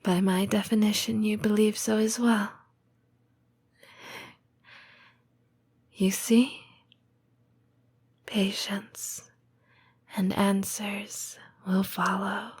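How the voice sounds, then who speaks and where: Woman doing a soft snake-girl girlfriend for comfort audio, super breathy whispers, really slow and soothing. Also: mid-range voice, little pitch movement. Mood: gently reassuring. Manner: slow, measured, formal phrasing with deliberate pauses. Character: tender, patient lamia girlfriend, soothing and devoted. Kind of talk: intimate comfort roleplay audio, scripted monologue.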